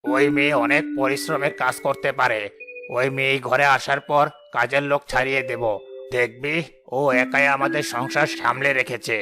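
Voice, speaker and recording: A man's voice: mid-range voice, flat delivery, normal speed, natural voice. A man playing a domineering, calculating village headman and father in a cartoon. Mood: scheming. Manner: commanding, calculating. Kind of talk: cartoon voice acting. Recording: loud background music.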